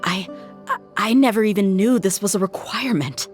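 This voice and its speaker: A woman's voice: mid-range voice, a little fast, music in the background, lightly acted. Blunt, tough young mercenary woman, voiced by an actress. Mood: confused, unsettled. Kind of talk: scripted game voice acting.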